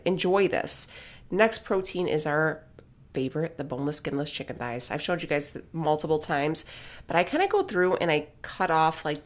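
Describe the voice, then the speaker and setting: A woman in her thirties narrating her home cooking in a casual, blunt, joking way, often checking in with "okay?". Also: deep voice. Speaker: vlogger.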